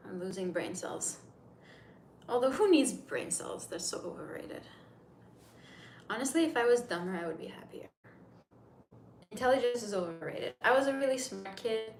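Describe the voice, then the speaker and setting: A woman's voice: medium pitch, animated, measured pace, pauses often. A 30-year-old woman from Turkey, a native Turkish speaker, hosts a casual livestream in English, rambling chattily as she answers viewers. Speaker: livestream host. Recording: echoey room with faint noise.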